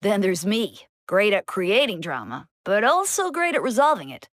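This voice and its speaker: A woman acts out a cheeky young church deacon in a game. The delivery is teasing and chatty, with bouncy, animated pitch and a very dry, close sound. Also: moderate pace, no room sound, natural voice. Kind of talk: scripted game character acting.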